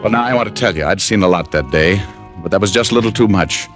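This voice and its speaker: An actor playing a wry, hardboiled American private detective, narrating and wisecracking in an old radio drama. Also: male voice, deep voice, fast, music in the background, lightly acted. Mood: tense storytelling. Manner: dry, wisecracking.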